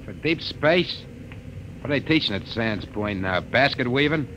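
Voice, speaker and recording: An actor playing a surly, sarcastic engine-room mechanic in old-time radio science fiction, talking back belligerently in rough working-class grammar. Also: male voice, mid-range voice, animated, normal speed, fairly bright tone, natural voice, rough recording. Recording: hissy old radio recording.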